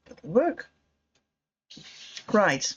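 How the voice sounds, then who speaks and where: A woman's voice: mid-range voice, very animated, extremely slow, husky, muffled recording. A woman vlogger talking through her thoughts in a reflective, digressive way, given to self-mocking laughter and close to happy tears. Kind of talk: reflective personal vlog monologue.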